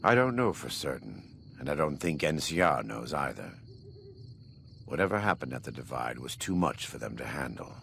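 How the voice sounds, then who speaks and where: A man playing a grave, devout, burn-scarred former war leader in a video game, speaking in a solemn, measured, preacherly way with a deep gravelly voice. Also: very animated, a bit bright, slight background noise, heavily acted. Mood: grave, reflective. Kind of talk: video game dialogue acting.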